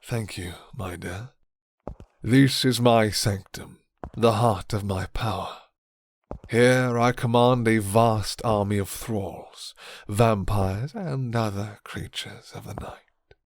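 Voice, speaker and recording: A man voicing a suave, commanding vampire lord in an ASMR roleplay, with formal, breathy, half-whispered menace. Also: lowish voice, slow, dry recording, studio quality. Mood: proud.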